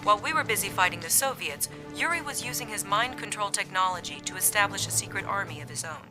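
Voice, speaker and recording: A woman's voice: mid-range voice, lively intonation, fast talker, close to an everyday voice. An actress playing a businesslike female military intelligence lieutenant giving a formal briefing in a game cutscene. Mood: urgent, formal. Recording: music under the voice.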